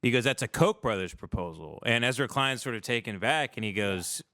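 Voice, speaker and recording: Man, casual livestream host, informal slangy talk, smooth clear tone, dry close sound. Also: medium pitch, lively intonation, fairly quick, pushed and bright, no room sound.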